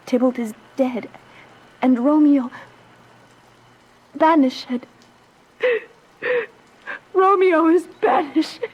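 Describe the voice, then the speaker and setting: A woman playing an anguished, lovestruck young noble bride in a radio Shakespeare tragedy, with impassioned, drawn-out verse delivery. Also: high-pitched, darkish tone, poor audio. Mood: despairing.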